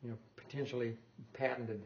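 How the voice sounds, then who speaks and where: A man's voice: mid-range voice, fairly even intonation, fairly quick, gravelly, lo-fi sound, slight background noise. An older man giving an informal technical talk in a lecture room, rambling and correcting himself with small chuckles. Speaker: guest lecturer on loudspeaker design.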